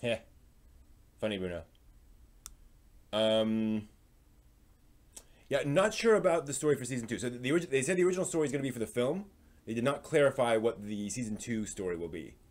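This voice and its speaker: A man hosting a live stream, delivering news commentary in an informal, halting way and often trailing off at the ends of phrases. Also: mid-range voice, expressive, darkish tone.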